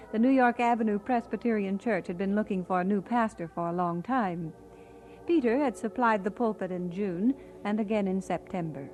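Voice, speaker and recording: An actress playing a devoted wife who speaks and narrates gently and reflectively in a scripted radio drama. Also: female voice, mid-range voice, close to an everyday voice, rough recording. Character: devoted young wife, gentle, recalling her marriage.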